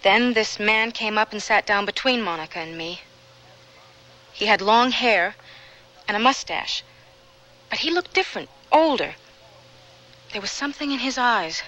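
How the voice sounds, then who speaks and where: An actress playing a troubled young woman with a guilty past, confessing earnestly and haltingly in a radio drama. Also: medium pitch, lively intonation, bright, forceful tone, poor audio. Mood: reflective.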